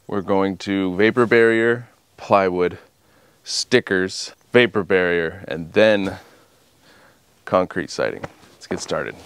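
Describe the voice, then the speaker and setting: A man's voice: sits low, slow, a bit bright, smooth. A man in his thirties, outdoors at a small house under repair, explaining the framing work in a casual, matter-of-fact way to a handheld camera. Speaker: homestead builder, channel host. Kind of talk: casual on-site walkthrough. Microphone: handheld camera at arm's length.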